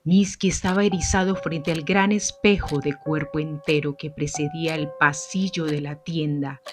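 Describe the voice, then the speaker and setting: A woman narrating a scripted gothic mystery story in a measured, solemn manner. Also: low-pitched, fairly even intonation.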